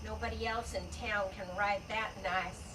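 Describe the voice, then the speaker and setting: A woman's voice: mid-range voice, steady tone, moderate pace, close to an everyday voice. A woman playing a dramatic, genteel frontier townswoman prone to fainting, in a Western radio drama. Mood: disapproving, resigned. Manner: genteel, melodramatic. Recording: distant stage microphone, noisy room.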